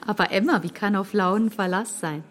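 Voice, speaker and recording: An actress playing a kindly, motherly woman in a radio play, gentle and cautious, confiding her worries. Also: mid-range voice, sing-song, normal speed, natural voice. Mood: doubtful.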